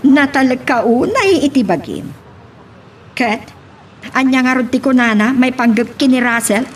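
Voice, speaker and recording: An actress playing a worried, sharp-tongued wealthy madam in a radio drama. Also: female voice, high voice, expressive, a little slow, slight background noise.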